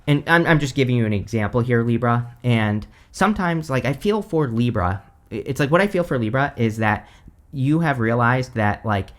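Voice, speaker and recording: A man giving a casual tarot reading, hoarse because he is losing his voice, rambling with lots of "like" and "you know". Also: mid-range voice, expressive, normal speed. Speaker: tarot reader.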